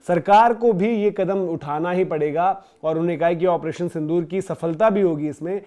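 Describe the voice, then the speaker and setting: Mellow, higher-pitched man presenting news commentary. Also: normal speed. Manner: emphatic, conversational, rhetorical questioning. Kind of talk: opinionated news commentary to viewers.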